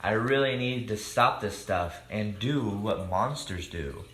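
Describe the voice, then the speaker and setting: A man voicing a gentle, earnest, too-nice hairy monster from a children's storybook. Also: on the low side, lively intonation, measured pace. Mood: resigned. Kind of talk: children's storybook character reading. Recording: echoey room with background noise.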